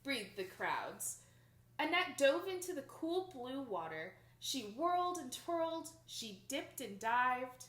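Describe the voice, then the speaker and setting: A young adult woman reading a picture book aloud to children in an expressive, emphatic storytelling manner. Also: sits high, takes it slowly. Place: small plain indoor room. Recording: roomy indoor echo.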